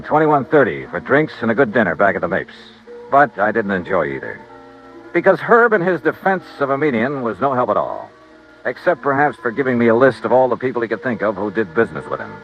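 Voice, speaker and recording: A hard-boiled insurance investigator from a 1950s radio drama. His delivery is clipped, confident and very animated in pitch. The old broadcast sound is slightly muffled. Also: brisk, faint music behind. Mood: brisk narrating. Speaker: lead actor in a radio detective drama. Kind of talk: 1950s radio acting, first-person narration and dialogue.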